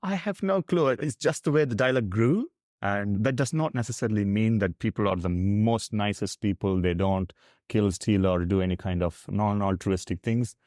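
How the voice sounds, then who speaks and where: A South Indian man, a native Tamil speaker with the accent to match, talks in a fairly deep voice. He explains his views earnestly and at length, in candid conversational style. The sound is dry, with little room in it. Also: normal speed, a little mellow, no room sound. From Tamil Nadu, South India. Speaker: podcast guest.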